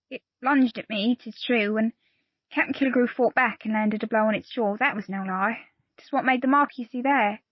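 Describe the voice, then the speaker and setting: An actress as a loyal pirate woman with a fairly high voice, recorded close and dry. She speaks plainly and earnestly in salty old seafaring phrases, as in an audio drama. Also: dry recording, lo-fi sound, natural voice. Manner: earnest storytelling in archaic pirate dialect. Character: loyal, plain-spoken pirate crewwoman confiding a grave secret. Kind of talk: audio play acting.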